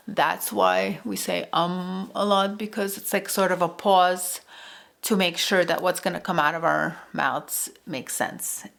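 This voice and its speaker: A woman's voice: fairly low pitch, a bit bright. A young woman, chatting casually while doing her makeup, rambling with many fillers and restarts. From Eastern Europe. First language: Russian. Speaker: beauty vlogger. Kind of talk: casual get-ready-with-me chat.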